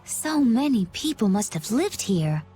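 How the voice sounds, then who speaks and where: An actress voicing a calm, poised young woman in a video game, polite and observant. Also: on the high side, a little slow, faint music behind. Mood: quiet wonder.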